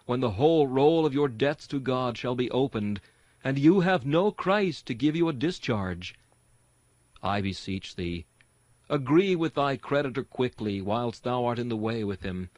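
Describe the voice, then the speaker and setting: A man reads an old-fashioned sermon in an earnest, exhorting way. His pitch rises and falls expressively with the rhetoric, and the sound is dry and close, free of room echo. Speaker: sermon reader and host. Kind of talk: dramatic reading of a Victorian sermon.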